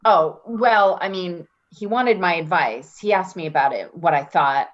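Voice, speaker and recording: Offhand, evasive female friend played by a woman, lower voice, unhurried, slightly dull recording. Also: close to an everyday voice. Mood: defensive. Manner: offhand, giggly, hedging. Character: breezy, evasive, self-serving female friend. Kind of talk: play reading, casual dramatic dialogue.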